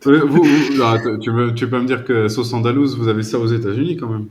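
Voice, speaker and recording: A man tutors French over a video call, keeping things relaxed. He jokes easily and encourages his student. His voice sits at an ordinary pitch for a man. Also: fairly quick, slight background noise. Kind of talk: casual conversation practice.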